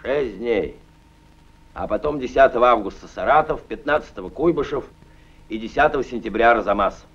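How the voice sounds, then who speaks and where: An actor playing a stern Soviet colonel general giving orders and weighing the enemy's plans, in measured 1940s film acting. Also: male voice, medium pitch, close to an everyday voice. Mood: grave. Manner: commanding, measured. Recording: dull old film soundtrack.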